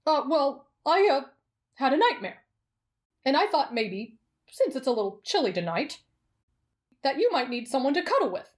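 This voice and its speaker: A woman voicing an excitable, lovestruck and insecure teenage swordsman boy in an anime roleplay, with an eager, stammering manner. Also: high voice, normal speed, pauses often. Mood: nervous. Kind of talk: anime roleplay ASMR acting.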